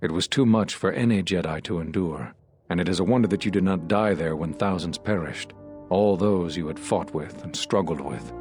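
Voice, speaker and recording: An actor playing a solemn, regretful Jedi master in a video game, speaking in a measured, sombre and earnest way. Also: male voice, low-pitched, darkish tone, faint music behind, lightly acted. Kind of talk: dramatic video game dialogue.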